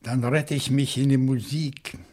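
An actor playing a bookish, lonely older French bachelor in a German radio crime play, probing and deliberate in manner. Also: male voice, mid-range voice, steady tone, darkish tone, natural voice. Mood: reflective. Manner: deliberate, thoughtful, with hesitant pauses.

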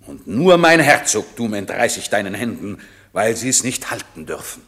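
An actor playing a grave, commanding wronged duke and magician in a classic radio play, speaking verse in a solemn, measured way. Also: male voice, fairly low pitch, very animated, a bit bright. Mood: stern, solemn.

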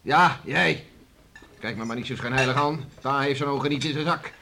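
A man's voice: medium pitch, normal speed, gravelly, crowd noise, close to an everyday voice. A man playing a blunt, teasing farmer father in a Dutch radio play, mock-stern and colloquial. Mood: grumbling. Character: gruff, teasing farmer father of grown children. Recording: faintly hissy old radio recording.

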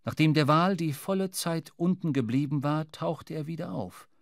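A man's voice: a little high, normal speed, dry recording. Dry-recorded male radio play narrator. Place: dry studio.

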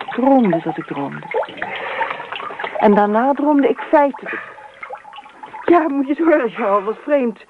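Dreamy wife in an old radio play, rambly and insistent, thin tinny sound w some hiss. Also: female voice, fairly high pitch. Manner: insistent, rambling, repeats herself. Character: dreamy, chatty wife absorbed in her dreams. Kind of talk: Dutch radio play acting. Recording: tinny band-limited radio recording with hiss.

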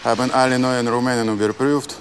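Actor as a slow, brusque man. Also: medium pitch, little pitch movement, a little hiss or hum, close to an everyday voice. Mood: brusque, impatient. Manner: clipped broken German, dropping words. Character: busy, brusque foreign man brushing off police. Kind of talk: radio drama acting.

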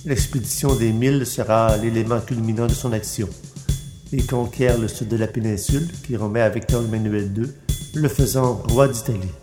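A male podcast host reads a script aloud at a middling pitch. The recording is roomy and echoing, with clear music behind him. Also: fairly even intonation, moderate pace. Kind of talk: scripted narration, reading aloud.

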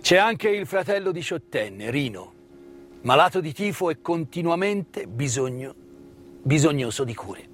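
A man delivering scripted theatrical narration of history as a stage storyteller, in a measured way with deliberate pauses. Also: fairly high pitch, very animated. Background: live instrumental music.

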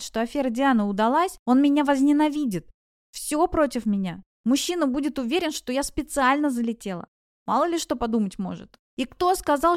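A woman's voice: fairly high pitch, darkish tone, smooth, dry recording, studio quality, lightly acted. A woman voicing an anxious young female student in an audiobook, confiding her worries earnestly and vulnerably. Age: young adult. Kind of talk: audiobook character voice.

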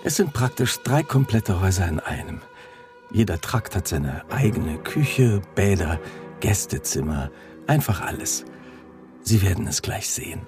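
A man's voice: low voice, very animated, unhurried, over music. A man playing a matter-of-fact police inspector in a radio play, dry and factual in his delivery. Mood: wry.

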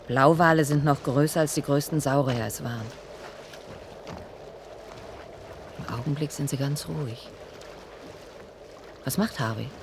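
An actress playing a weary, shaken researcher in a German radio play, hesitant and earnest, with a breathy, husky voice. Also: female voice, rumbling low, a little hiss or hum. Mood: anxious, doubtful. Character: weary, shaken female researcher recounting a disaster.

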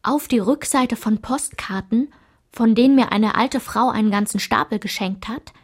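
A woman's voice: fairly high pitch, moderate pace, close to an everyday voice. A woman playing a solitary, observant narrator who recalls her schoolgirl self in precise, composed literary radio-play narration. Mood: matter-of-fact. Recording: clear music behind the voice.

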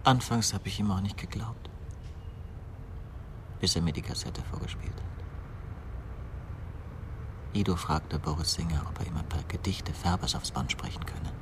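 Fervent, low-voiced male murder confessor. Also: lively intonation, moderate pace, noisy background. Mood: hushed confession. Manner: intense, fervent, swinging from hushed to raised voice. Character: obsessed literary man confessing a murder, fervent, bitter idealist. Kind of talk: 1990s German radio play acting.

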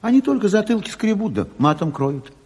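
An earthy grain-requisition agent with a very raspy, breathy voice and swooping, lively intonation. He talks in folksy, worried bursts, repeating himself, in a Soviet radio drama recorded with long hall reverb. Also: high-pitched, brisk, full character voice. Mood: exasperated. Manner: colloquial, folksy, repeats words for emphasis.

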